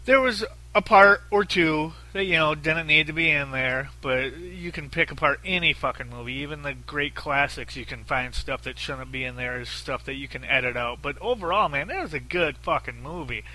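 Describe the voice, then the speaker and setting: A man's voice: on the high side, moderate pace, hardly any pauses, pushed and bright, rough recording. A man with a very nasal voice, hosting a solo podcast in a blunt, profane, rambling way with lots of fillers. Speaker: podcast host. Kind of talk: casual solo podcast talk.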